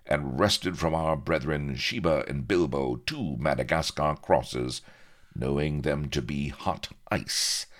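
A man acts out a commanding pirate leader in a storybook. He declaims in archaic sea-rogue language with menace. The pitch ranges widely. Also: vocal fry, heavily acted. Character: commanding pirate chief passing menacing judgement.

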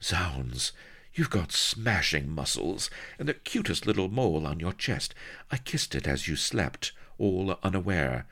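Guy doing a husky vamp pirate lady, way higher voice, dramatic old-timey talk. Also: big pitch swings, unhurried, a bit bright, exaggerated voice. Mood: seductive. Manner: husky, theatrical, archaic speech. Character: sultry, scheming female pirate temptress.

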